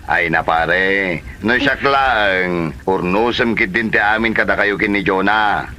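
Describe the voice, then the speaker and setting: A man's voice: lowish voice, measured pace, pushed and bright, slight background noise. A man playing a teasing, exclaiming buddy in an Ilocano comedy drama. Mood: exclaiming, advising.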